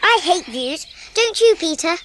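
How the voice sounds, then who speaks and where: A woman's voice: extremely high pitch, expressive, measured pace, background noise. A woman playing a whiny, easily frightened little sister with an English accent in a dramatised audiobook. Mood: grumpy. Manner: petulant, dramatic, plaintive.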